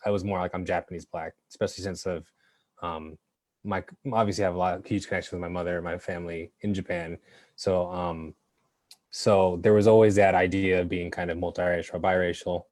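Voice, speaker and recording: Guy with a really deep voice, lots of ums, talking it through slowly, dry close sound. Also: little pitch movement, darkish tone, no echo. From United States. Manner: thoughtful, frequent ums, restarts. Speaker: professional athlete guest. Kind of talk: panel discussion.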